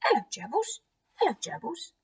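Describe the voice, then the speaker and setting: A woman voicing a sweet, excitable two-year-old boy in cooing, repetitive toddler talk for a children's poem. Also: high voice, normal speed, pitched above the performer's own voice. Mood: delighted.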